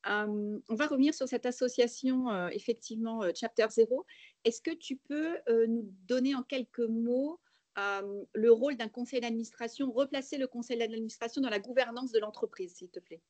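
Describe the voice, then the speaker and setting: Female moderator, a bit high-pitched, polite and halting, dry close sound. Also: a little mellow, dry recording. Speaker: moderator asking questions. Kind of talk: moderating a webinar interview.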